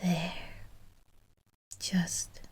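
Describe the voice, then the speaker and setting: Woman playing a slow, whispering, genteel vampire lady. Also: dry recording. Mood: soothing, tender. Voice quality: breathy, whispered. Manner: slow, gentle, genteel endearments. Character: soothing, genteel, possessive female vampire rescuer and buyer. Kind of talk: whispered ASMR roleplay.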